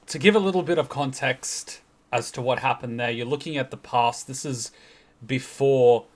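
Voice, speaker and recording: A man with a mid-pitched voice gives casual explanatory commentary as a video host. He sounds unscripted and pauses to search for words.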